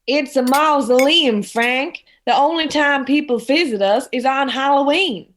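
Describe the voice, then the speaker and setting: Casting: woman as nagging, status-minded middle-aged mum for radio play, higher voice. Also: a little slow, fairly bright tone, slight background noise. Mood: exasperated. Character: exasperated, status-conscious middle-aged mother. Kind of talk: amateur radio drama acting.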